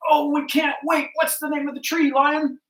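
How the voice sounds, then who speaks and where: A performer voicing a crowd of excitable meadow animals in a folktale, speaking emphatically. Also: medium pitch, moderate pace, reverberant, distant mic, pitched above the performer's own voice, lightly acted. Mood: eager. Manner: exclamatory, emphatic.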